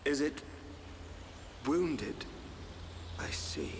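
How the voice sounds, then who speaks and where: An actor playing an ominous, composed adversary in a video game, speaking in a measured, brooding way. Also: male voice, mid-range voice, huge pitch swings, full character voice. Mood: brooding, cold. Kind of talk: dramatic video game acting. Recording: long reverb, clear ambient noise.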